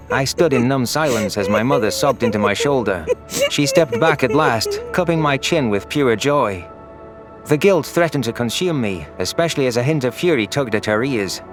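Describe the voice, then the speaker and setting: A man reading dramatic first-person scripted narration as an audiobook narrator. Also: medium pitch. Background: background music.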